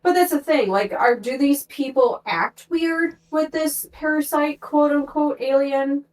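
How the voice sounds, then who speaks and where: A woman's voice: high voice, a little slow. Woman speculating casually in a roomy space. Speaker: player in a tabletop game. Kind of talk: casual discussion of a mystery in a game.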